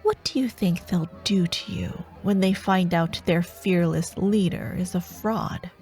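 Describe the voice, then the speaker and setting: A woman playing a menacing dark warlord who haunts nightmares, teasing and taunting in a fantasy ASMR audio roleplay. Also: lowish voice, steady tone, faint music behind, natural voice. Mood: mocking, sinister. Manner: teasing, taunting, slow and deliberate, slipping toward whispers.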